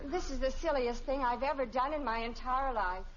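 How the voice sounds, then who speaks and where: An American actress playing an earnest, indignant teenage daughter in an old radio family sitcom. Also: female voice, sits high, normal speed, fairly bright tone, a faint crowd. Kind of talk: mid-century radio sitcom acting. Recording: muffled, very noisy old radio.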